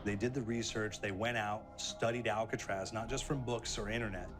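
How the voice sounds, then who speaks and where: A man's voice: fairly low pitch, steady tone, normal speed. A man talking as a game developer in a behind-the-scenes interview, conversational and reflective. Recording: clear music bed behind.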